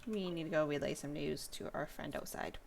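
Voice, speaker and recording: A woman's voice: low-pitched, brisk, gravelly, a little mellow, slight background noise. A woman game streamer giving casual live commentary in a playful, chatty manner.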